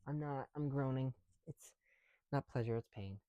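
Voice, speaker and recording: A man records a casual ASMR chat in a dry, close space. He rambles with swearing and teasing, slipping between hushed murmurs and fuller speech. His breath is audible through a mask. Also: medium pitch, normal speed, no room sound, lo-fi sound. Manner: drifts between soft whispering and louder talk. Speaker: ASMR creator. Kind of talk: casual first-person ASMR build chat. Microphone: close mic, speaking through a mask.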